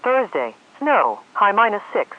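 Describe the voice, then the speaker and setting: A woman's voice: medium pitch, big pitch swings, a little slow. A woman's synthetic voice reads scripted forecasts and climate summaries as an automated weather announcer, in a formal style. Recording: dull lo-fi weather radio audio.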